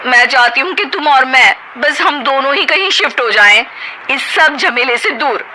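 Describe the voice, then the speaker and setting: Picture a shrill, scheming young sister-in-law as a woman puts her on, taunting and demanding in a sharp, piercing tone. Her voice leaps high and swings wildly from line to line. She is as bossy as a cartoon household villain. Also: expressive, normal speed, a little hiss or hum, full character voice, low-quality recording.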